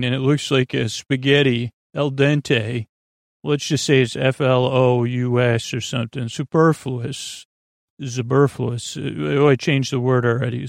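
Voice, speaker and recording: Creaky-voiced man rambling haltingly, close and dry. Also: medium pitch, dry recording. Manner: meandering, halting, tangential, absent-minded. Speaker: podcast host. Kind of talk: rambling sleep podcast monologue.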